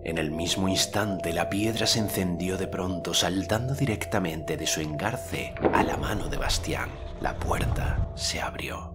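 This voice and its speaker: A man narrating an audiobook in a measured storytelling manner. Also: deep voice, over loud music.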